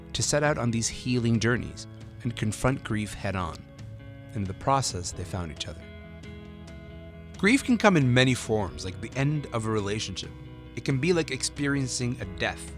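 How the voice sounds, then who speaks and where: A man giving measured, earnest scripted documentary narration. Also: mid-range voice. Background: soft guitar music.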